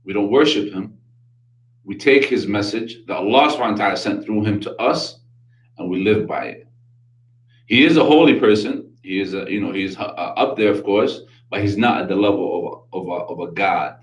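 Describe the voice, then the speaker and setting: Man, New York accent, low voice, earnest explainer, talk show host, echoey room. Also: brisk. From Brooklyn, New York. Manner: earnest explaining, stacks Arabic religious phrases. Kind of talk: casual religious talk show.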